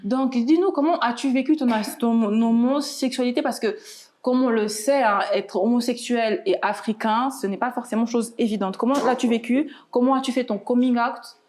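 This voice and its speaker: Woman host running a debate, kinda high voice, keeps asking questions. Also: steady tone, normal speed, darkish tone. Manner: questioning, encouraging. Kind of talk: debate moderating.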